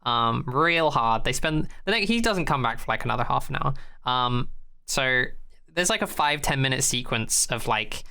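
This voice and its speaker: Sarcastic young man, clear voice. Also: medium pitch, expressive, normal speed, bright, forceful tone. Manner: blunt, sarcastic, swears casually, mocks the show with exaggerated quotes. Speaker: podcast co-host. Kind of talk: casual chat.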